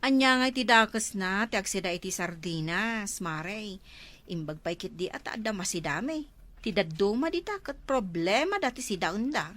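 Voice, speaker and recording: A woman's voice: mid-range voice, big pitch swings, moderate pace, a bit bright. A woman playing a proud, nagging village housewife in a comedy drama, speaking with an Ilocano accent.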